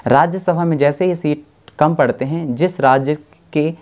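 Young man teaching, mellow dull-toned voice. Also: on the high side. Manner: explains slowly with self-corrections and restarts. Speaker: civics tutor. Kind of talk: exam-prep lecture to camera. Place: dim indoor room, seated at a desk.

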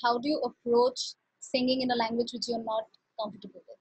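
A young woman with a high, slightly breathy voice asks interview questions through a headset. The sound is dry and close, and she speaks hesitantly, restarting and repeating words. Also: little pitch movement, brisk, dry recording, poor audio. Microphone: headset on a video call.

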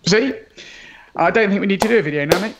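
Gravelly joking man. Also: sits high, heavy background noise. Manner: joking, teasing, quick banter. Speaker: game producer. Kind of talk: casual chat with a colleague.

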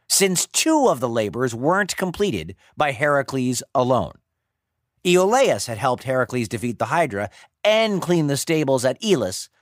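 Very animated, high-pitched male storyteller. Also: no echo, studio quality. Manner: playful, wry, dramatic emphasis. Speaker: podcast narrator and host. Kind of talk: animated storytelling narration of Greek myths for children.

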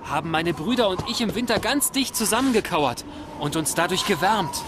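A man's voice: sits high, expressive, normal speed, heavy background noise. A man voicing a cautious, caring young pony in a German cartoon dub.

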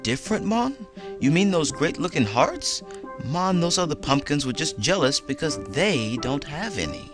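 Lively, swooping Jamaican wishing-well creature. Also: male voice, a little high, moderate pace, music in the background. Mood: friendly, reassuring. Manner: laid-back, encouraging. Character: cheerful, friendly male creature living in a wishing well.

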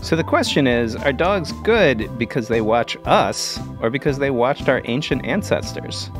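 A man's voice: mid-range voice, expressive, normal speed. A man co-hosts a kids' podcast in a playful, questioning style. His mid-range voice swings up and down expressively, with guitar music underneath. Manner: jokey, inquisitive. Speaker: podcast co-host. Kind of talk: scripted kids' podcast banter.

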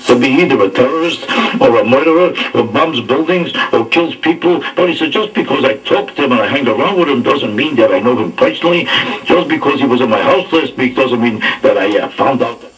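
A man's voice: mid-range voice, expressive, a little fast. A man delivering a talk radio monologue. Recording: distant radio, faint music behind.

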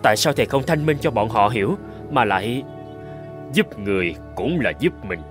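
A man voicing a troubled disciple questioning his master in an animated tale, earnest and pleading. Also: mid-range voice, normal speed, over music, close to an everyday voice. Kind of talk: animated drama acting.

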